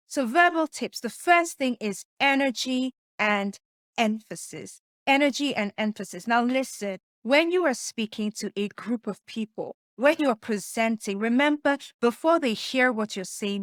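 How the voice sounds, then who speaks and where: Soft-voiced woman coaching with emphasis. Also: on the high side, dry recording, clean studio sound. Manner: emphatic, asks the listener rhetorical questions. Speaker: communication coach. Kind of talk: teaching presentation tips to camera.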